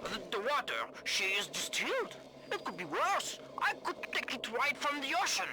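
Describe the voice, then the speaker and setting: A woman's voice: high-pitched, very animated, moderate pace. Indignant, swooping woman, noisy recording. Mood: indignant, mocking. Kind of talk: video game audio diary anecdote. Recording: noisy old audio diary recording.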